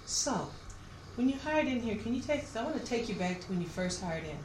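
A woman's voice: on the high side, very animated, brisk, a little mellow. A woman conducting a recorded oral history interview as the interviewer, asking questions. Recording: echoey room, clear background noise.

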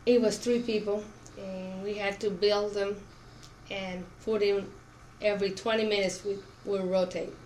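A woman's voice: medium pitch, flat delivery, measured pace, a little mellow, rough recording. A middle-aged woman from Cuba, a Spanish speaker, answering questions in an oral history interview. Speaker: interviewee, auto plant worker. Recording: clear background noise.

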